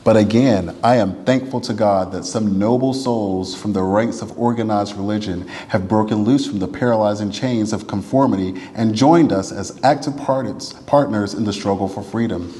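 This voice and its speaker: A man reads a written letter aloud in a solemn, oratorical style, with deliberate, emphatic phrasing and slowed, falling endings to phrases. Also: on the low side, warm tone. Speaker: reader at a church service. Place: church sanctuary. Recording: long reverb of a large hall.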